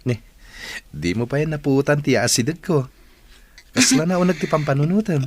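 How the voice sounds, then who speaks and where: A man's voice: on the high side, animated, moderate pace, lightly acted. A man with an Ilocano Filipino accent playing an attentive young student boyfriend in a radio drama. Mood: concerned, probing. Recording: faint background noise.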